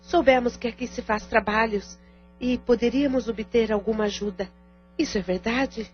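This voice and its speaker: A woman's voice: a little high, normal speed, lightly acted. A woman playing a practical, supportive adult sister in an audio drama, polite, formal and direct. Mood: composed, polite. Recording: dull lo-fi audio with music underneath.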